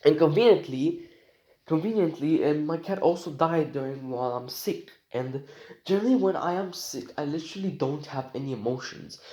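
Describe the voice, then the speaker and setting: A man's voice: fairly high pitch, normal speed, dark tone. Rambling teenage boy. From Romania. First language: Romanian. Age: teens. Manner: rambling, joking, many restarts and slang. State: sick. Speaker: vlogger. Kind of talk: casual rambling monologue.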